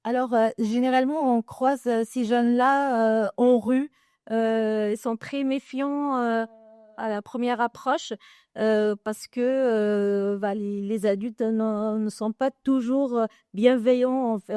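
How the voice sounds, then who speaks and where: A woman's voice: on the high side, warm tone, smooth, no room sound, studio quality. A woman educator speaking at a panel discussion, hesitant, with many fillers and restarts. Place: conference room.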